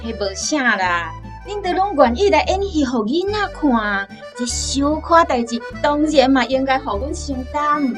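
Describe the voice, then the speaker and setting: A humble, willing volunteer has a high voice and a Taiwanese accent. She sounds earnest and reassuring in radio-play style, with faint music behind. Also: lively intonation, measured pace, hardly any pauses. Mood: humbly reassuring. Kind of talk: radio drama acting.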